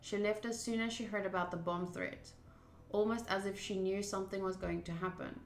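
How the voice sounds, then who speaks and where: A woman's voice: medium pitch, fairly even intonation, a little fast, roomy sound. A woman streamer doing a game playthrough, reading text aloud and adding casual commentary.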